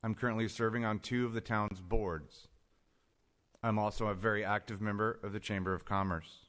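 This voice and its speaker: Middle aged guy running for mayor, lower voice, reading out his opening statement. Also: fairly even intonation, normal speed, fairly bright tone. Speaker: mayoral candidate. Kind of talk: prepared campaign speech.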